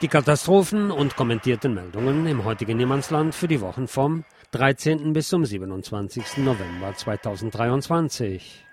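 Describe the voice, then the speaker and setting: A man's voice: medium pitch, lively intonation, moderate pace, noisy background. A man hosting a radio show, reading a news commentary aloud. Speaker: radio host.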